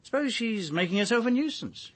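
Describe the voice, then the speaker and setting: An actor playing a dogged police inspector with a British accent, blunt and argumentative in a radio drama. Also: male voice, very high voice, big pitch swings, fairly quick, a bit bright, full character voice. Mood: insistent.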